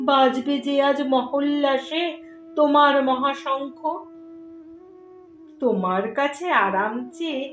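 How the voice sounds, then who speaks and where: A woman speaking as a poetry reciter, with a solemn, measured and expressive dramatic delivery. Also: sits high. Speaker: poetry reciter and channel host. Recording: echoey voice over faint music.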